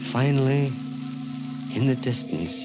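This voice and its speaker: An actor playing a grim, vengeful young American man, narrating in a measured, brooding 1940s radio style. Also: mid-range voice, animated, dull audio, lightly acted. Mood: somber, haunted. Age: young adult. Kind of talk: 1940s radio acting, dramatic first-person narration. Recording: old radio broadcast with dramatic music.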